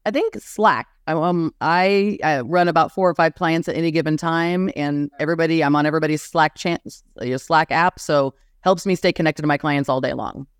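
A woman guest with a smooth, clear voice, chatting casually on a business panel. The sound is dry, with little room around it. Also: low-pitched, moderate pace, hardly any pauses, no room sound. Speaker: podcast guest, consultant.

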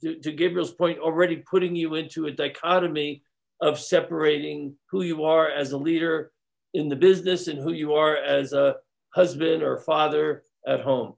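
A man's voice: a little high, fairly even intonation, moderate pace, gravelly. A man, a consultant guest in an interview, explaining his work step by step with some restarts.

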